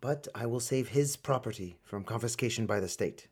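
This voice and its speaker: A man acting in a radio play as a stern but protective older colonel and uncle figure, grave and deliberate. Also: mid-range voice, husky, close to an everyday voice. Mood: resigned, businesslike.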